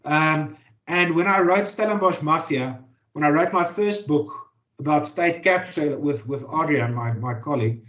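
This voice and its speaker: South african man, afrikaans first language, journalist interviewee, slightly high voice, many ums and restarts, dull lo-fi sound. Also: moderate pace, fairly bright tone. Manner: thoughtful, many fillers and repeated words. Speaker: journalist and author. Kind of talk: interview answers. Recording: dull lo-fi audio.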